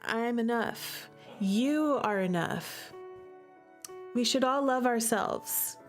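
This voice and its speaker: A woman's voice: a little high, slow pace, faint music behind. A woman commenting on a livestream to her viewers, gushing and emphatic, repeating phrases for effect. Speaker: streamer playing a visual novel.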